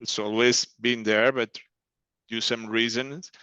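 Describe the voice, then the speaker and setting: A man with a Portuguese accent gives an informal panel talk in careful, halting phrases. His voice is clear and forward with little melodic movement, on a dry but slightly dull connection. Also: mid-range voice, level tone, sharp tone, no room sound. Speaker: marketing and brand manager, panelist. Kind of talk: informal webinar presentation. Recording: slightly dull, lo-fi call audio.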